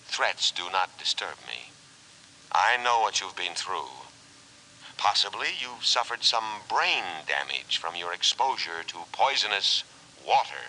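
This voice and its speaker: Deep, formal war robot. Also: male voice, very animated, measured pace, pushed and bright, heavily acted, rough recording. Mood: calm menace. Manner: formal, precise, unemotional delivery. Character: calm, officious war robot boat, menacingly solicitous. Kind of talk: 1950s radio drama acting. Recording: hissy 1950s radio recording.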